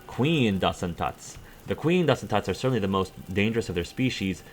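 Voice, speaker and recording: Low-voiced man reading game lore. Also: expressive, brisk, soft background music. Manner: reads aloud with stumbles and self-corrections, adds brief dry asides. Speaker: let's play host. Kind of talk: reading in-game codex entries aloud.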